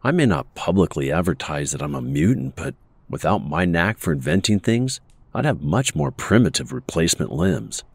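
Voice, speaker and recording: A man playing a stoic, wounded veteran inventor, speaking in a measured, earnest way in an audio drama. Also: low-pitched, big pitch swings, breathy edge, no room sound, exaggerated voice. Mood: earnest, confiding.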